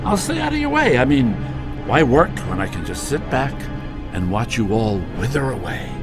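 An actor playing a sarcastic, scheming underworld god in a video game, with glib, theatrical patter. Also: male voice, mid-range voice, big pitch swings, moderate pace, over music, lightly acted. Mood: smug. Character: sardonic, fast-talking scheming god of the underworld. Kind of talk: animated video game voice acting.

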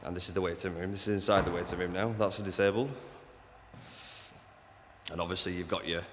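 A man who cleans a train station, giving a casual walking tour on his phone, chatty and rambling, with a deep voice. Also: fairly even intonation, brisk, a bit bright, a little hiss or hum. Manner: chatty, rambling, says "you know what I mean". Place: old train station toilets and platforms at night. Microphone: handheld phone.

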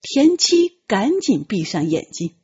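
A woman's voice: mid-range voice, very animated, slow, mellow, husky, lo-fi sound. A woman reading a novel aloud as dramatic scripted audiobook narration.

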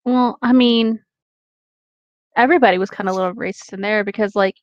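A woman's voice: mid-range voice, fairly even intonation, fairly quick, no room sound, lo-fi sound. A woman chatting casually and giving her opinions in very informal, slangy speech. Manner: informal, says "like" often.

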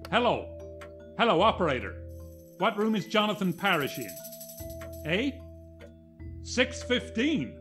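An excitable American police inspector in a 1940s radio mystery. He is brusque and harried, pitched fairly high with lively swings. Music runs behind him. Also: unhurried, long pauses, exaggerated voice. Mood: urgent. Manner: brusque, exclamatory. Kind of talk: 1940s radio drama acting.

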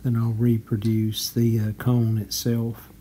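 A man's voice: mid-range voice, completely flat delivery, takes it slowly, dark tone, slight background noise. A man giving a clipped, matter-of-fact how-to voice-over as he narrates repair work step by step. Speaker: radio restorer and repair channel host.

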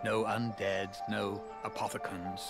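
A man's voice: on the low side, little pitch movement, measured pace, creaky. An actor playing an irritable, whimsical, all-powerful older man in a game, musing theatrically and with condescension. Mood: musing, exasperated. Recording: loud music behind.

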